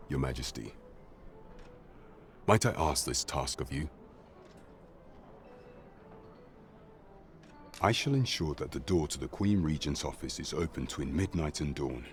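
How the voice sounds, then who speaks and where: A grave courtly advisor from a fantasy game, with an extremely deep voice. He speaks loyally and formally, in measured archaic phrases, over music. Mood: earnest, conspiratorial. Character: grave, courtly, loyal male royal official. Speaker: actor voicing a royal advisor. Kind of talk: scripted fantasy game dialogue.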